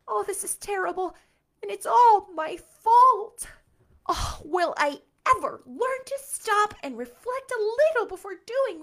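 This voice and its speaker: A high, swooping young girl's voice full of theatrical despair, as a woman reading aloud puts her on. She wails and frets breathlessly, her pitch leaping all over the place.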